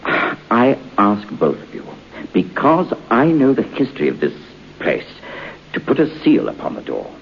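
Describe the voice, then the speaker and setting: An actor playing a grave, foreboding priest with a solemn, portentous delivery in an old radio horror play. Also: male voice, mid-range voice, wildly swooping pitch, measured pace, creaky, full character voice. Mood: grave warning. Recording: muffled old radio recording with hiss.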